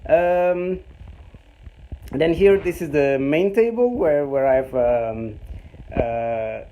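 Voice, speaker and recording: A Portuguese man in his thirties, speaking English with many pauses and restarts as he gives a casual tour of his small home workshop. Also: fairly high pitch, lively intonation, dark tone, a little hiss or hum, low-quality recording. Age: 30s. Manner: hesitant, many fillers and restarts, chuckles often. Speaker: host showing his home print workshop. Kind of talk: casual live-stream tour. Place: small home machine room.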